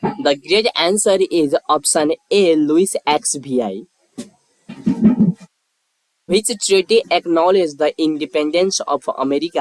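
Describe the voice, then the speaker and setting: A quiz narrator with a medium-pitched voice reads from a script. The delivery is formal and measured, like announcing questions and choices. The sound is dry, with no room around it. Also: dry recording, low-quality recording.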